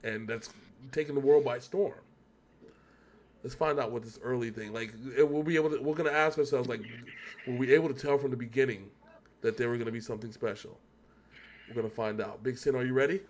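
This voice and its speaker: A man's voice: medium pitch, steady tone, a little fast, slight background noise. A man hosting a casual video reaction, speaking in an emphatic, rambling way as he thinks aloud. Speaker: reaction show host.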